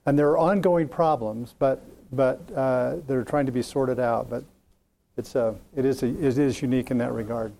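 A man's voice: medium pitch, steady tone, moderate pace, gravelly, dark tone. A man moderating a question and answer session, speaking as the chair to a group.

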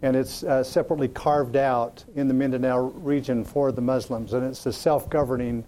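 Mellow male session moderator, some noise. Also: mid-range voice, fairly even intonation, moderate pace.

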